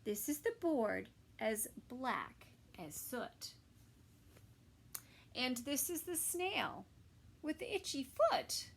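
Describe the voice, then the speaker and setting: A woman reading a picture book aloud for toddlers at story time, dramatic and with hushed little asides. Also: high-pitched, very animated, slow pace, creaky, pauses often. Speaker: children's story time reader.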